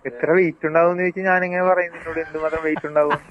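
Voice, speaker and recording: A man's voice: fairly high pitch, flat delivery. A man with a Kerala Malayalam accent chatting casually on the phone, puzzled and hesitant in his answers. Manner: slow to catch on, puzzled, hesitant. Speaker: friend on the receiving end of a call. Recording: dull lo-fi phone call sound.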